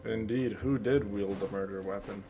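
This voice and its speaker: A man's voice: fairly low pitch, fairly even intonation, normal speed, music in the background. A man giving casual commentary as he plays a game, repeating phrases for emphasis. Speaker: gaming streamer.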